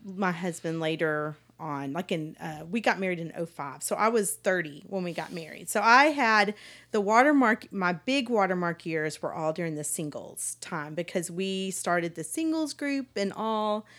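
Middle-aged woman, low-pitched voice. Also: expressive, normal speed, a bit bright. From East Texas, United States. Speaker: podcast guest. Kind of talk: casual conversation, telling life story.

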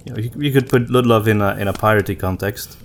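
Low-voiced man chatting on a podcast. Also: quick pace, a little hiss or hum. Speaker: podcast host. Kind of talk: casual conversational podcast chat.

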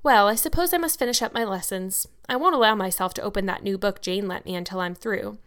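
A woman's voice: medium pitch, fast, a bit bright, lightly acted. Woman as a chatty, dramatic young girl. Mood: chatty, earnest. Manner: chatty, earnest, grandly worded. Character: talkative, dramatic, imaginative young girl, confident and bookish. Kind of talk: audiobook character dialogue.

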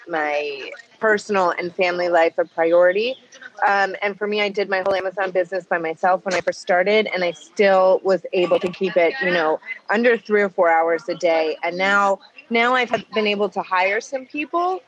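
Self-assured woman guest, dull audio. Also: on the low side. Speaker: podcast guest. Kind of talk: casual interview chat.

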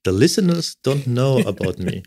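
A man's voice: mid-range voice, sing-song, a little mellow, no echo, studio quality. A middle-aged man with a German accent, a guest in a casual podcast interview, speaking with frequent fillers and restarts. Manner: halting, many fillers and restarts. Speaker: orthotics specialist guest.

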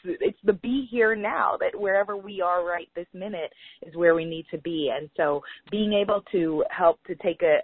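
A woman with a Guyanese American accent hosts an interview in a reflective way. She repeats words and slows down to stress a point. The sound is thin and phone-like, with no room echo. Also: lowish voice, breathy edge. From United States. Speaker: podcast host. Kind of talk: warm interview chat. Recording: tinny phone-like line, dry.

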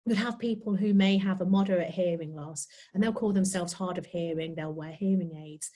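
A middle-aged woman speaking as a guest on a podcast. She talks in a chatty, open way at an even middle pitch. She sounds like a sign language interpreter recording from home. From United Kingdom. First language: British Sign Language. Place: home, lockdown remote call.

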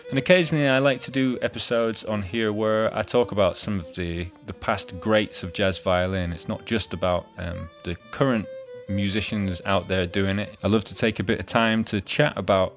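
A man's voice: on the low side, fairly even intonation, normal speed, faint music behind. A young man with a mixed Hull and Scottish accent, hosting a podcast and chatting casually, with lots of restarts. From born in Hull, raised in Scotland. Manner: chatty, with frequent restarts and fillers. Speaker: podcast host. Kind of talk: casual interview chat.

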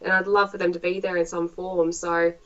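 A woman's voice: fairly low pitch, little pitch movement, a little fast, a bit bright, muffled recording. A woman author hosting a casual podcast interview, chatty and full of slang, laughing often.

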